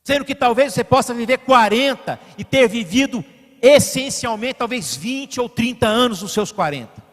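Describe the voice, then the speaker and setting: A pastor from Brazil preaching in his church, his high voice carried by a long reverb through the sanctuary. He presses his points with rising emphasis, then drops to quiet, conversational asides. Now and then he calls instructions to the man running the slides. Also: expressive, moderate pace. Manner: directs the slide operator mid-sermon. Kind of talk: sermon.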